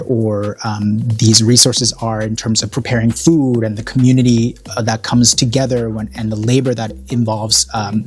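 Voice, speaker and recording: A man who was born in Korea, a college program director, speaking earnestly and reflectively in an interview with many fillers. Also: lowish voice. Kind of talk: interview talking about an event.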